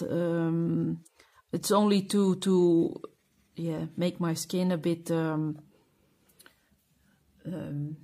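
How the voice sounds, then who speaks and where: A woman's voice: mid-range voice, darkish tone. A Belgian woman with a Flemish accent, speaking English as a second language in a casual chat to camera, hesitant and full of fillers as she searches for words. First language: Dutch (Flemish). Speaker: coloring channel host.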